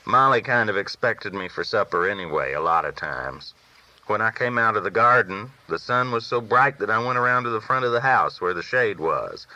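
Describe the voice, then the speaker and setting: A man's voice: lowish voice, expressive, moderate pace, bright, forceful tone, low-quality recording. A man reading a first-person novel aloud as a folksy storyteller. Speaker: audiobook reader.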